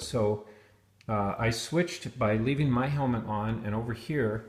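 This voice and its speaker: A man's voice: mid-range voice, a little hiss or hum. A man giving an unscripted hands-on gear demonstration, explaining things step by step. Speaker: product reviewer demonstrating helmet intercoms. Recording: roomy, echoing sound.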